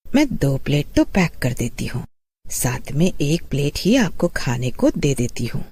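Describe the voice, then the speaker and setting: Woman voicing a mysterious, mellow female street vendor. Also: low voice, sing-song, a little slow, slightly rough, pitched below the performer's own voice, exaggerated voice. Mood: obliging. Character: mysterious female street food seller, politely obliging. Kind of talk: animated horror story dialogue.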